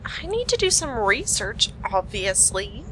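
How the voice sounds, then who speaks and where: A woman's voice: mid-range voice, sing-song, unhurried, a bit bright, slight background noise, low-quality recording. A woman playing a wry, determined young private investigator in an amateur radio play. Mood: explaining, awkward.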